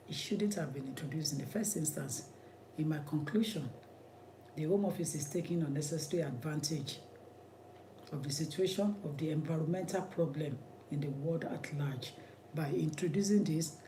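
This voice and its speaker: A man's voice: a little high, warm tone, a little hiss or hum, rough recording. A man with a Nigerian accent, an immigration lawyer giving impassioned commentary in an emphatic, repetitive, preacherly way.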